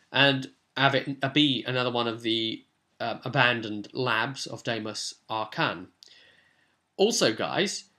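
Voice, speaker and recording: A man with an average-pitched voice speaks as the host of a tabletop gaming vlog. His delivery is casual and unscripted, a loose commentary that rambles into animated storytelling asides.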